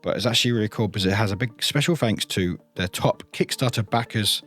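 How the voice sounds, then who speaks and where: A man's voice: fairly low pitch, quick pace, fairly bright tone, faint music behind. A man presenting an unboxing in a casual, chatty way, often trailing into short informal asides. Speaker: reviewer hosting an unboxing channel.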